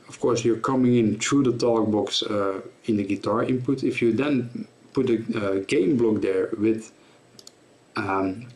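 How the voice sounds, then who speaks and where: A man giving an informal tutorial explanation as a presenter, in a halting, self-correcting way. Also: medium pitch. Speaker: presenter of guitar gear tutorial.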